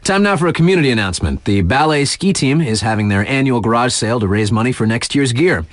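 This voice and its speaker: Animated, sardonic radio DJ played by a man. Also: medium pitch, fast talker, no echo, close to an everyday voice. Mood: upbeat, sardonic. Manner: wry, sarcastic, slangy and conversational. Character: laid-back, sardonic young male mountain-town radio DJ. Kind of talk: scripted local radio news patter.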